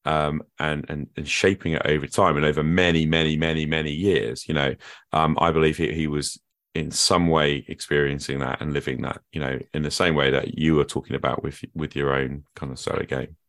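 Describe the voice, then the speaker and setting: Very deep-voiced reflective male host. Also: little pitch movement, a little fast, a bit bright. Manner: reflective, earnest musing. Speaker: podcast host. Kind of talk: conversational interview.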